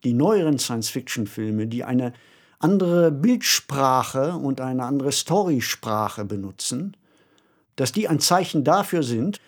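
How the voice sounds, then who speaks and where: A man's voice: mid-range voice, animated, measured pace. A man co-hosting a podcast, speaking in a conversational discussion style. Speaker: podcast co-host. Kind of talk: conversational discussion of science fiction.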